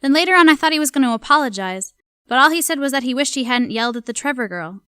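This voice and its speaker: Actress as young female servant, audio drama diary, crisp clear tone, dry close sound, plain confiding delivery. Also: sits high, animated, quick pace, sharp tone, dry recording. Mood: confiding, puzzled. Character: observant young female household servant keeping a journal. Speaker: voice actor. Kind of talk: audio drama journal narration.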